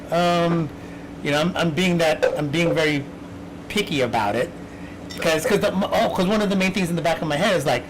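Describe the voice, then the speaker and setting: A man hosting a casual chat, rambling with many restarts as he works through his thoughts. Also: fairly high pitch. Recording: clear background noise.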